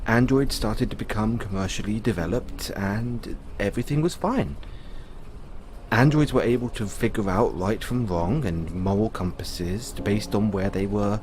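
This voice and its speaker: A man playing a patient owner talking to his android in an audio roleplay, explaining in a musing way with pauses. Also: fairly low pitch, expressive, noisy background. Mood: patiently explaining. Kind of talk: audio roleplay, conversational storytelling.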